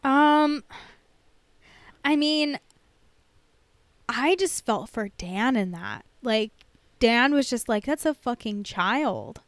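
A woman's voice: a little high, expressive, slow pace, long pauses, no room sound. A woman chatting casually as a podcast co-host, in an informal, hedging way peppered with "like". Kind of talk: casual podcast chat about TV shows.

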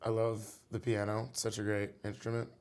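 Low-pitched male guest, laid-back musician, chatty and meandering. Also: brisk. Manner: relaxed, rambling with many fillers. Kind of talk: casual interview talk.

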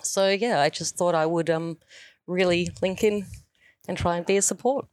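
A woman's voice: on the low side, steady tone, normal speed, darkish tone, no room sound. This is a woman, giving interview answers as a teacher. She comes across as earnest and thoughtful. The sound is dry, with hardly any room around her voice. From New Zealand.

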